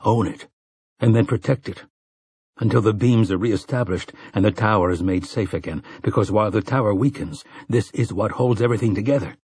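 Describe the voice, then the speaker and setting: A man voicing a grim, weathered male gunslinger who speaks slowly and gravely in an audiobook. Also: a little mellow, dry recording. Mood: urgent. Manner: slow, low and grave.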